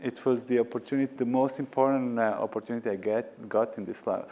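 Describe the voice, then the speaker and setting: A man's voice: mid-range voice, little pitch movement, quick pace, warm tone. Hesitant man on a panel stage. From former Yugoslavia. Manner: hesitant, many fillers and restarts. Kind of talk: panel discussion. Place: conference stage.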